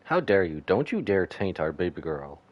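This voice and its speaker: Style: playful game streamer. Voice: low, lively man. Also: normal speed, lo-fi sound. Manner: playful, mock-dramatic baby talk. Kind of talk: live gameplay reaction commentary.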